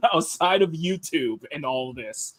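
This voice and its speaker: A deep-voiced man with a Southern accent chats casually as a live-show host. He rambles, restarts his sentences and laughs often, in a dry, close recording. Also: animated, slow, no room sound. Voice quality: deep, radio-style tone. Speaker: livestream host.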